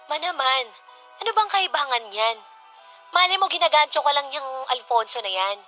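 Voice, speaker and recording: A woman's voice: sits high, animated, moderate pace, bright, forceful tone, faint music behind. A woman playing a headstrong, suspicious adult daughter in a Tagalog radio drama. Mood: indignant, suspicious.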